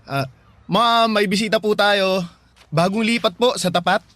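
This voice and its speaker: A man's voice: high-pitched, moderate pace, a bit bright. An actor playing a courteous, easygoing college-age young man in a radio drama. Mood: polite. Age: young adult. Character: polite, friendly college-age son. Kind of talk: Tagalog radio drama acting.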